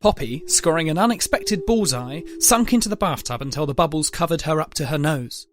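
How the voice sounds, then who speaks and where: A man's voice: a little high, lively intonation, fairly quick. A man reading scripted, dramatic story narration as the narrator.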